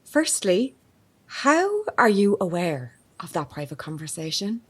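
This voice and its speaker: A woman playing a sharp-witted, persistent investigative reporter in an audio drama, with an articulate, probing manner. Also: on the low side, big pitch swings, measured pace, breathy edge, heavily acted. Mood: pointed, confrontational. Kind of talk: naturalistic audio drama acting.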